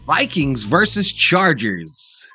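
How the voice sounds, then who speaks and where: A man's voice: a little high, wildly swooping pitch, takes it slowly, pushed and bright, husky, soft background music. A man hosting a sports livestream, giving informal, chatty pregame commentary and talking directly to his viewers. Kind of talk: casual live pregame commentary.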